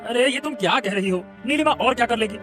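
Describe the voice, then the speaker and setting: A man voicing a cocky, quarrelsome, mischief-loving husband in a cartoon story, gloating and dismissive with drawn-out exclamations. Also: very high voice, expressive, music in the background. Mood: dismissive, defensive. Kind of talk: Hindi cartoon story dialogue.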